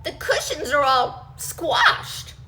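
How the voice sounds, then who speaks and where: A woman's voice: high-pitched, wildly swooping pitch, slow pace, bright, forceful tone, a little hiss or hum, full character voice. A woman voicing a middle-sized mommy bear who exclaims in a storybook reading. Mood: surprised. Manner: exclaiming, fussy.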